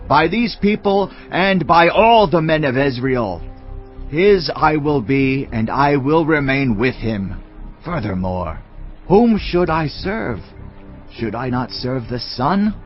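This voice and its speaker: Slow, ingratiating royal courtier. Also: male voice, on the high side. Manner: stately, drawn-out declarations. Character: ingratiating, smooth-talking courtier pledging loyalty. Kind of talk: dramatized audio Bible acting. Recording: dull audio over music bed.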